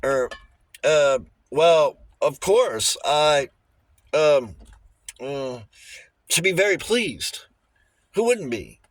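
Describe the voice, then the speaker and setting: A man's voice: a little high, lively intonation, drawn-out words, pauses often. A man voicing a little old bachelor lawyer in an audiobook, formal and precise, shifting between diffidence and relish for a fight. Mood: diffident, flustered. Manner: hesitant stammers giving way to precise, emphatic pronouncements.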